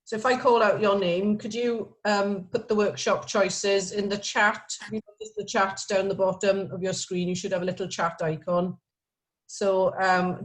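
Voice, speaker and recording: A woman's voice: medium pitch, low-quality recording. A woman hosting an online meeting over a video call, practical and friendly, with some fillers. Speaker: event host.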